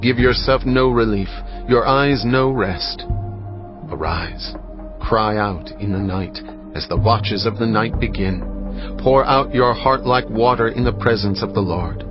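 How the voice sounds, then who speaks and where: A man narrating scripture in a solemn, measured, dramatic style. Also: on the low side. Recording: muffled, with music behind. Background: music.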